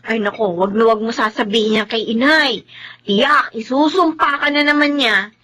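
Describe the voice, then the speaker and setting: A woman's voice: fairly high pitch, normal speed, bright, forceful tone. An actress playing a wife who confides in and cautions her husband in a melodrama. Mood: confiding. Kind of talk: Filipino melodrama acting.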